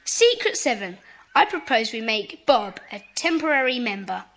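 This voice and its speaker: A confident British schoolboy takes charge briskly and kindly. The voice is high and bright, as in a children's audio play. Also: female voice, lively intonation. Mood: confident, encouraging. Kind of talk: children's audio drama acting.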